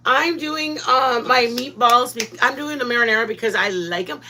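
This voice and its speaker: A woman hosting a casual live cooking stream from her home kitchen, excitable, rambling and quick to giggle. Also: on the high side, background noise.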